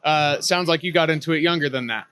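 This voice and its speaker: A man's voice: on the high side, fast, bright, forceful tone, clean tone. A man in his twenties hosting an interview, opening with a scripted intro and then asking questions in a friendly, conversational way. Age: 20s. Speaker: interview host. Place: on a cruise ship.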